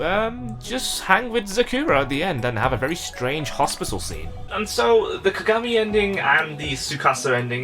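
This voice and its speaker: Lively man reviewing over clear music. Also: on the high side. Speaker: visual novel reviewer. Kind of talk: informal review commentary.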